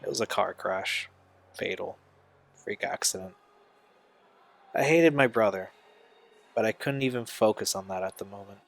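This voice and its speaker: An actor playing a troubled young man in an audio drama, speaking in an earnest, halting, confessional way. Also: fairly low pitch, gravelly, no room sound. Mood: bitter, anguished. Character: Steve, troubled young man recalling a painful family past.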